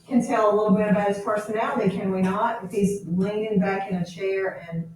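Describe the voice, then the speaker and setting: A woman's voice: mid-range voice, steady tone, fairly quick, darkish tone, a little hiss or hum. A woman teacher reads aloud to a class and checks understanding with questions. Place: echoey indoor room. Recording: very echoey room, distant mic.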